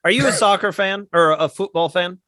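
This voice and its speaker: High, bright-voiced man hosting a chat. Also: dry recording, studio quality. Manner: fast, animated talk with frequent laughs. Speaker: podcast host. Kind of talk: casual podcast chat.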